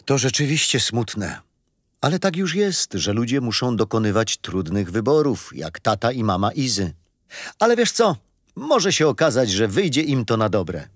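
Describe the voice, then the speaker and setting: An actor playing a kindly grandfather who tells his grandchildren bedtime stories gently and expressively in a children's radio play. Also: medium pitch, very animated, moderate pace, no echo. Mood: tender.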